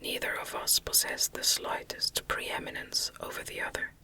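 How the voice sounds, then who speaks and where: A woman whispering a classic Gothic novel as an ASMR audiobook, hushed and measured, close to the microphone. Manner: hushed, measured, unvoiced delivery. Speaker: audiobook reader.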